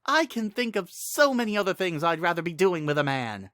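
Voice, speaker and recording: A brash young sorceress from a fantasy storybook, as a male narrator puts her on. She is playful and sardonic, with a raised, bright voice and a teasing edge. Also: high voice, very animated, brisk, no room sound, studio quality, pitched above the performer's own voice. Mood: exasperated. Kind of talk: dramatic storybook reading.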